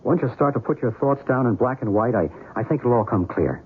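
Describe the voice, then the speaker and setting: A man's voice: medium pitch, animated, brisk, phone-quality sound, natural voice. An actor playing an earnest, philosophical male believer in otherworldly visitors, persuasive in old-time radio style. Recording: noisy, thin old radio recording.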